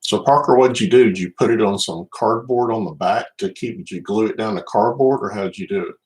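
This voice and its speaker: Deep-voiced Southern US man. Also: darkish tone, low-quality recording. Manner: unhurried, addresses viewers by name. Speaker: livestream host, card collector. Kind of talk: casual livestream chat.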